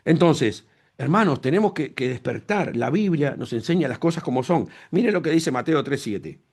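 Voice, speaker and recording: A man's voice: fairly high pitch, lively intonation, moderate pace, a little mellow. A man preaching a sermon as pastor, emphatic, repeating phrases and asking rhetorical questions.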